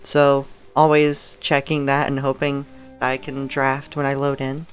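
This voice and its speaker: Low-pitched lady streamer chatting slow n easy, smooth voice, bit of music behind. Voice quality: dry, slightly raspy throat. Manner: relaxed and rambling, with soft laughs and fillers. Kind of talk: casual live-stream chat.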